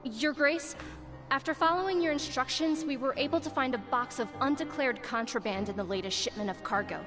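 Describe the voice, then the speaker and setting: Woman acting female game guard, somewhat high pitch, formal, deferential, dutiful report, background music. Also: a little fast, close to an everyday voice. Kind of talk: anime video game voice acting. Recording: game audio with clear music behind.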